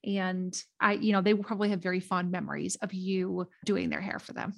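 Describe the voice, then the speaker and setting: A woman hosting her own podcast, chatting warmly and enthusiastically with a guest. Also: medium pitch, level tone, brisk, creaky. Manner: enthusiastic, affirming. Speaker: podcast host. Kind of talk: casual interview chat.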